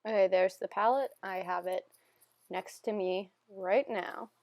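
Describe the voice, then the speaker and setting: A young woman narrating a makeup tutorial in a casual, self-deprecating, rambling voiceover, her voice still a little hoarse from being sick. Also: mid-range voice, measured pace, a little mellow, dry recording. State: slightly hoarse, recovering from illness. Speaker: beauty vlogger.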